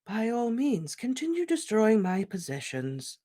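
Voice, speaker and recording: A reader voicing an old male headmaster wizard, speaking in a calm, measured, gentle way. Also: medium pitch, slightly rough, pitched above the performer's own voice. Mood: serene. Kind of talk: audiobook character reading.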